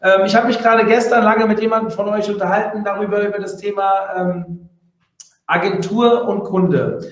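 Brief: male webinar host with a cold, high voice, distant echoey lo-fi sound. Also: steady tone. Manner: chatty, halting, many fillers. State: has a cold, coughing. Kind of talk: hosting a live webinar.

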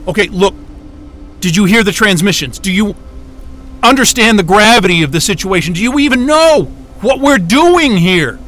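An actor in an audio drama playing a frightened but defiant captive scientist, stammering, agitated and foul-mouthed. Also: male voice, high voice, expressive, moderate pace, a bit bright, faint music behind. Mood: nervous, pleading.

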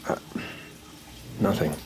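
An actor playing an anxious, insecure slacker boyfriend with a flustered, slightly whiny manner in a comedic audio drama. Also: male voice, slow, very noisy, close to an everyday voice. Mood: irritated, brooding.

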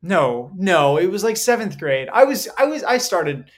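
A man's voice: sits high, very animated, moderate pace. A man in his twenties, a singer and guest, chatting casually with many fillers and laughs. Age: 20s. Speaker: guest performer. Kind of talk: casual interview chat.

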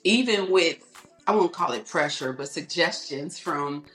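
A woman with a deep, low alto voice talks through her story in an easy, reflective way, often stopping to say "you know". The room rings noticeably, and faint music plays underneath. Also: lively intonation, unhurried, a bit bright, reverberant, poor audio. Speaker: guest singer. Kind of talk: casual interview talk.

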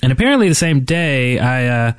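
A man's voice: medium pitch, sing-song, fairly quick, no echo. A man co-hosting a casual gaming podcast, recalling things in a hesitant, rambling way. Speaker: podcast co-host.

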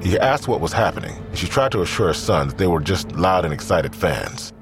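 Male narrator for a crime audio drama: very low register, animated contours, grave measured read, faint score. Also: over music. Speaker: narrator of a fiction podcast.

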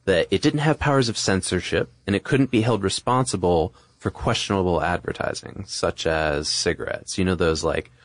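A man with a low voice talks casually as a podcast co-host. He explains things conversationally, with little asides, in a dry, close recording. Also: moderate pace, hardly any pauses, dry recording.

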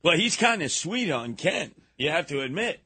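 A man's voice: on the high side, very animated, normal speed, bright, forceful tone, breathy edge. A man hosting a radio talk show with theatrical, teasing banter. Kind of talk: casual radio banter, mocking commentary.

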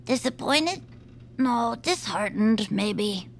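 Eager, creaky high-voiced young fan. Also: female voice, lively intonation, slow, slight background noise. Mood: reassuring. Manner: earnest, persuasive. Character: eager, scheming young fan, plucky would-be commander creature. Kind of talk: audio fiction comedy acting.

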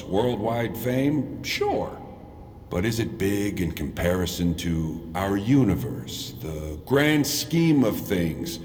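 An actor playing an aloof, cryptic film star who stays in vampire character, portentous and deadpan, in an audio drama. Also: male voice, fairly low pitch. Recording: reverberant hall, faint noise.

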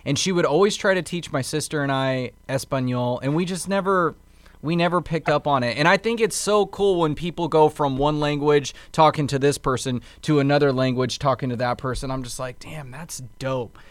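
Higher-pitched casual American man, dry sound. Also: normal speed, no echo. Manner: slangy, informal. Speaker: podcast co-host. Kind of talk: casual chat.

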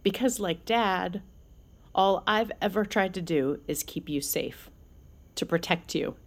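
A woman acting an anxious, protective older sister in a fiction podcast, earnest and halting when emotional. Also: fairly low pitch. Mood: tearful, afraid.

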